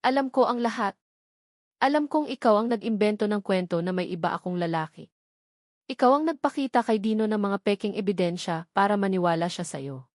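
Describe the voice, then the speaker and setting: A woman's voice: mid-range voice, brisk, no echo, studio quality, close to an everyday voice. A Filipino-accented woman narrator voicing a wronged, furious woman in an audio drama, accusing and emphatic. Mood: accusing anger. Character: wronged, furious young woman confronting her rival.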